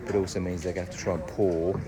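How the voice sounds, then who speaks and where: A man's voice: low voice, flat delivery, rapid-fire, a crowd in the background. A man giving a casual, step-by-step walk-through of his house build outdoors, talking with plenty of ums. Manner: chatty, explains step by step with many ums. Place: outdoor construction site. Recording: loud outdoor site noise.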